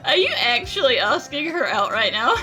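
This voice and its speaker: A woman streaming a game with casual, giggly, teasing and expressive reaction commentary. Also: sits high, normal speed. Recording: game music behind.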